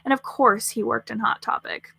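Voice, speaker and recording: A woman's voice: fairly high pitch, fairly even intonation, normal speed, gravelly, bright, forceful tone, breathy edge, dry recording. A woman reading scripted fiction aloud as the narrator of a story podcast.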